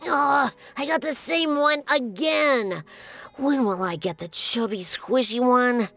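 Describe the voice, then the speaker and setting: A woman's voice: sits high, takes it slowly, fairly bright tone, soft background music, full character voice. A woman voicing a sulky little child in a game, whining and grumbling. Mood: whiny. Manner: whiny, grumbling. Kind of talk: game voice acting.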